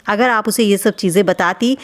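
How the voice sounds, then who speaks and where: A woman's voice: mid-range voice, moderate pace. A woman hosting a video channel, giving gossip commentary in a reproachful, questioning way, addressing people directly. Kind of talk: spoken commentary on vlogger gossip.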